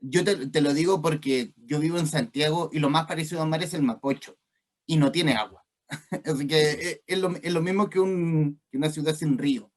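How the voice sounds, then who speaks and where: Higher-voiced Chilean man, hesitant interviewer. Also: moderate pace. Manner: hesitant, searching for words. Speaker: interviewer, host. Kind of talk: conversational literary interview.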